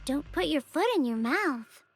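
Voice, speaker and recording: Cheeky, very high-pitched anime service girl. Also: expressive, unhurried, soft background music. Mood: sarcastic, mock-sweet. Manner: mock-polite, sarcastic, theatrical. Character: cheeky, smug young female customer-service agent, sarcastic sweet-talker. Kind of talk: anime-style video game voice acting.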